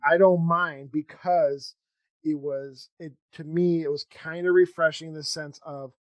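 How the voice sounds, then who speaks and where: A man hosting a podcast in casual conversation, talking in a halting, rambling way as he thinks aloud. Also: a little high, fairly even intonation, no echo. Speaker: podcast host interviewing a guest. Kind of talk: casual chat.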